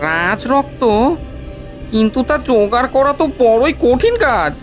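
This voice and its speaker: A sly, eager cartoon henchwoman, played by a woman, talks with lively swings of pitch over prominent music. She sounds questioning and a little dim, ever the follower. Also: measured pace. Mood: curious, impatient. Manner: animated, questioning. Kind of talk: dramatic cartoon voice acting. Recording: music behind the voice.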